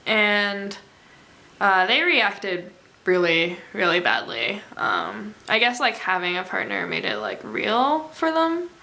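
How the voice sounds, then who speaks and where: Young woman, native Mandarin speaker, bright and soft-spoken, halting delivery, echoey room. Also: medium pitch, expressive, creaky. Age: young adult. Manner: soft-spoken, hesitant, many fillers. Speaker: college student. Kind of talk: documentary interview.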